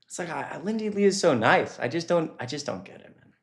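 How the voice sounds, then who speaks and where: A male streamer delivers casual political commentary in an exasperated manner. He speaks off the cuff, often halting and reworking his point, and swears as he goes. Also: fairly high pitch, sing-song, fairly bright tone.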